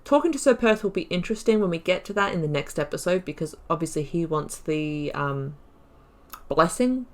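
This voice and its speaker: A woman's voice: lowish voice, lively intonation, brisk. A woman hosting a gaming video, chatting casually through a playthrough and reading lore aloud.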